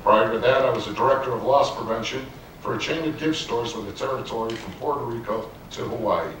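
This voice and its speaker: A man delivers a measured, businesslike candidate statement at a public forum. He sounds set back from the mic, with heavy echo from the hall and faint room noise behind him. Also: medium pitch, fairly bright tone, recorded from a distance. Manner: measured, businesslike, reads from prepared text. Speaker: village trustee candidate. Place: community meeting room with an audience. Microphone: handheld microphone.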